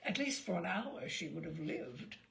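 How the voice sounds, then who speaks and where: A woman's voice: on the low side, big pitch swings, moderate pace, gravelly, voice pushed down, heavily acted. A woman voicing a sardonic, grave ship's doctor of sixty, dry and pompous, in a storybook reading. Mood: dryly amused.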